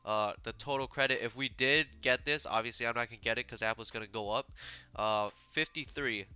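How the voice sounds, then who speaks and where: Bright, stuffy-nosed man explaining trades. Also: mid-range voice, a little fast, clear, resonant tone, no echo, dull audio. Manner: casual, filler-heavy explaining with frequent "uh". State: stuffy, a little sick. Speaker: trading channel host. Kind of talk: screen-share trading tutorial.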